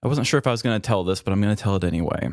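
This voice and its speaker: A man's voice: on the low side, rapid-fire, no room sound, studio quality. A man co-hosting a comedy podcast, telling casual self-deprecating stories and acting out bits of dialogue. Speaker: podcast co-host.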